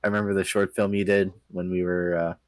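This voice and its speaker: A young man chatting casually as a podcast guest, halting and dryly understated. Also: deep voice, flat delivery, no room sound, studio quality. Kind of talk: casual chat, reminiscing.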